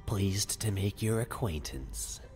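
A man's voice: expressive, darkish tone. A man playing a curt, menacing death god spirit, cold and imperious, in an ASMR roleplay drama. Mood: sinister satisfaction. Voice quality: very deep, drawn-out delivery. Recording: long reverb, background music. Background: music.

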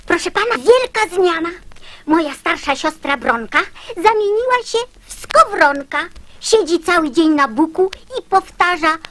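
Eager high-voiced little fibber girl. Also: lively intonation, measured pace, a bit bright, full character voice. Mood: eager, insistent. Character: chatty little fibber girl, eagerly spinning tall tales. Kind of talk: theatrical radio recitation of children's verse.

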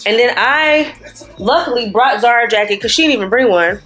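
A woman's voice: fairly high pitch, animated, normal speed, fairly bright tone, faint music behind. A woman in her thirties chatting casually to camera in a hotel room, teasing and telling stories with quoted asides. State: sniffly. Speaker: vlogger and mother. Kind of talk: casual vlog chat to camera.

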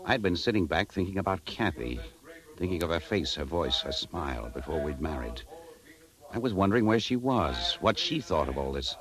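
A man's voice: sits low, very animated, normal speed, crowd noise. An actor in a 1950s radio drama playing a weary, disillusioned advertising man who tells his story in a hardboiled, reflective way. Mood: reflective, uneasy. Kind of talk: 1950s radio drama acting and first-person narration.

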